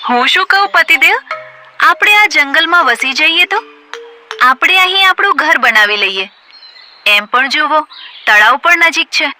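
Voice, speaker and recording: A woman's voice: high voice, animated, a little slow, pauses often, pitched above the performer's own voice. A woman voicing an eager, affectionate female goat wife in a cartoon. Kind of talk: cartoon voice acting. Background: background music.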